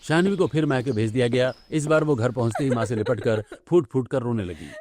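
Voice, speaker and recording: A man's voice: mid-range voice, a little fast, a little mellow, a little hiss or hum. A man narrating a scripted domestic story for a cartoon.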